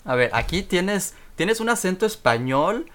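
A man's voice: high voice, very animated, measured pace, fairly bright tone, slight background noise. A man hosting a podcast, upbeat and laughing often. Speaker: podcast host. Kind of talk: podcast chat.

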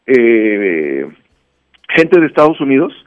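A man's voice: mid-range voice, very animated, slow, dull audio. A man with a Mexican accent giving a conversational motivational talk as a radio guest, teaching emphatically with anecdotes and rhetorical questions. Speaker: guest commentator on a radio segment.

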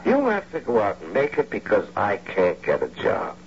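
Embittered husband with wildly swinging pitch. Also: male voice, huge pitch swings, normal speed. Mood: drunken bitterness. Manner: theatrical, sardonic, brooding. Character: big, embittered husband, sarcastic, wounded pride, often drunk. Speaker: actor playing lead and narrator. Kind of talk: old-time radio drama acting. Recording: dull, noisy old radio recording.

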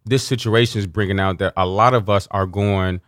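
A man's voice: fairly low pitch, fairly even intonation, brisk, a bit bright, no room sound, studio quality. A man co-hosting a casual talk show, an entrepreneur speaking earnestly and spiritually, with frequent "you know". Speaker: co-host, entrepreneur. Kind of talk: casual chat.

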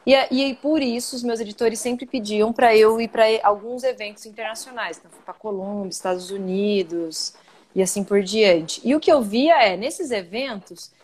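A Brazilian woman being interviewed on a live video call, telling stories in an animated, outspoken way. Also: medium pitch, normal speed. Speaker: guest, coffee journalist and podcaster. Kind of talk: casual interview chat.

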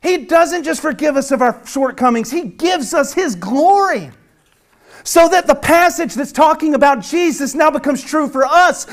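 A man from the American South preaching a sermon with a Southern accent, earnest and emphatic, repeating phrases and dropping at times to a hush. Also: very high voice, animated, moderate pace. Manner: swings from hushed near-whisper to loud emphatic preaching. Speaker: pastor.

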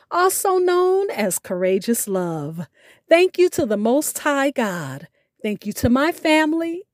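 A woman hosting a podcast, reading a scripted sign-off in a deliberate, emphatic way. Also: sits high, darkish tone, no echo, clean studio sound. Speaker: podcast host.

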